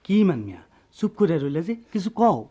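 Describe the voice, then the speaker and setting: A practical go-between from a village radio play. His voice is high and he nudges people along plainly. He speaks with a rural Bangladeshi accent. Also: sing-song, brisk, dark tone. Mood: prompting. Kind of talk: Bengali radio play acting.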